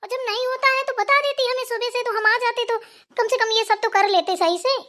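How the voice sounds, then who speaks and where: A woman's voice: extremely high pitch, brisk, pushed and bright. A woman voicing a sassy, vain and impatient younger sister-in-law, teasing and nagging in a cartoon style. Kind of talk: Hindi family cartoon voice-over.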